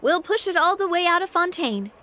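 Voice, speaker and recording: A woman's voice: extremely high pitch, lively intonation, a little fast, sharp tone, slight background noise, full character voice. A woman voicing a breezy, sociable young female syndicate boss in an English game dub, playful and friendly. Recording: music behind the voice.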